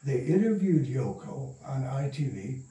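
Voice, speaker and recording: A man's voice: medium pitch, animated, a little slow, warm tone. An older man being interviewed, telling anecdotes in a casual, rambling way. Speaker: interview guest. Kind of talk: casual storytelling reminiscence. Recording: roomy echo, distant from mic.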